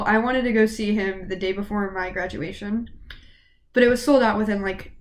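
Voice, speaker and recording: A woman in her late teens chatting casually to camera as a vlogger, rambling and gushing, a bit sniffly from a cold. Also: mid-range voice. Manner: rambling, gushing fan talk.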